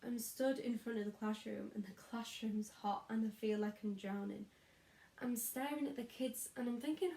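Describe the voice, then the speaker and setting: A woman's voice: fairly high pitch, creaky, mellow. A woman playing a weary, disillusioned young schoolteacher in an introspective, confiding stage monologue. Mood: weary, overwhelmed. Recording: echoey room.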